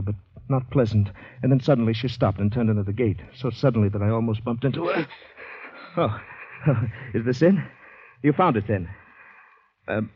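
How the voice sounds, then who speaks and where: A man's voice: mid-range voice, breathy edge, dry recording. Anxious, shell-shocked man, vintage radio. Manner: intense, anxious, halting. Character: shell-shocked Canadian man, panicky, polite, narrating his ordeal. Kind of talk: 1950s radio drama acting, first-person narration. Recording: dull vintage radio recording, music behind.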